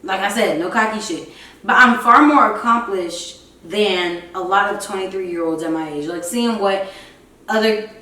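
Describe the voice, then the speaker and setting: A woman in her twenties hosting a casual chat, talkative and laughing often, her voice jumping higher when she gets excited. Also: normal speed, heavy reverb, far from the mic, rough recording. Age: 20s. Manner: chatty, laughs a lot, voice jumps high when excited. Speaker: channel host.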